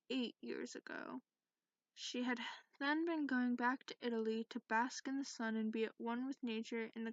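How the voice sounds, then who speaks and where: A woman playing a sarcastic, cranky dragon in a soft-spoken ASMR bedtime reading, recorded close up in lo-fi. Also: on the high side, no echo. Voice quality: creaky. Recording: dull lo-fi close dry recording.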